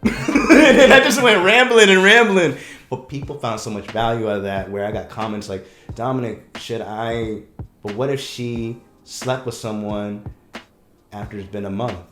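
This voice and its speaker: A man, a breakup coach, talking casually on a podcast, telling stories with lots of restarts and quick laughs. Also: medium pitch, roomy sound, faint music behind. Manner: animated storyteller, laughs often, rambling restarts.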